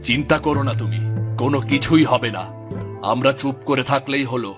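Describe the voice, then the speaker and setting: A man's voice: mid-range voice, brisk, natural voice. A man playing a wary, scornful village husband in a cartoon story, talking in a firm, cautioning manner. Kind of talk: dramatized Bengali cartoon story dialogue. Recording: music behind the voice.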